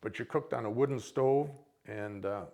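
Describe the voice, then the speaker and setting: A man's voice: mid-range voice, moderate pace, a little mellow, lo-fi sound. An older man with a Minnesota accent, informally reminiscing to an audience as a veteran in a rambling, folksy way. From Minnesota, United States. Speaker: military veteran, guest speaker.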